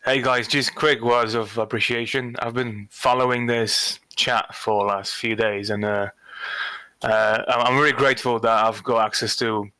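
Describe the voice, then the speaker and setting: Guy in a voice chat, bright voice, dry close sound, jokey and friendly. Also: medium pitch, fairly even intonation, normal speed, clear, resonant tone, no room sound. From Poland. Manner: friendly, laughs easily, self-deprecating. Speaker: chat participant. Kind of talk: casual group voice chat.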